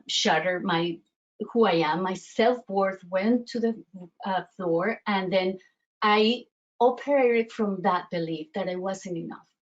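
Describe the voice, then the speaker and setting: Woman coach, Colombian accent, mid pitch, halting and filler-heavy, slightly dull lo-fi audio. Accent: Colombian Spanish. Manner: halting, many fillers and restarts. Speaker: self-worth coach. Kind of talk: webinar presentation.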